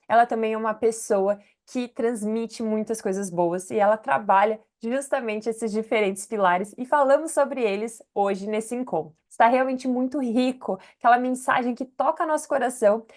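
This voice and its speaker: A woman's voice: on the high side, moderate pace, hardly any pauses. A woman hosting her interview channel, introducing the guest and asking questions in an effusive, inspirational way.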